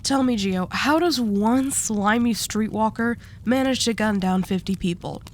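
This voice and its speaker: A woman playing a commanding, sharp-tongued mafia boss in an audio roleplay drama, dry, curt and wry. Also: medium pitch, a little slow, slight background noise, lightly acted. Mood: hard, determined.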